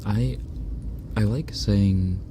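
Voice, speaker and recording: A man streaming ASMR, chatting casually and softly with viewers, often dropping into a whisper, on a binaural microphone. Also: on the low side, extremely slow, noisy background. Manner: soft-spoken, often whispering, chatty and self-deprecating. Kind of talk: casual ASMR livestream chat reading donations.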